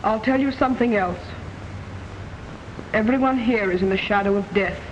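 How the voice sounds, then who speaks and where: A woman's voice: mid-range voice, moderate pace, noisy background, lightly acted. An actress playing an ominous fortune-telling woman who warns of death, in 1940s radio drama style. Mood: foreboding. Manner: grave, foreboding. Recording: dull old radio recording.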